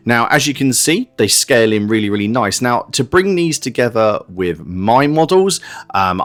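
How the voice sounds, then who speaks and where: A man with a low-pitched voice presents a hobby review. He speaks informally and explains things step by step, addressing viewers directly as a channel host would. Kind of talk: casual hands-on review narration.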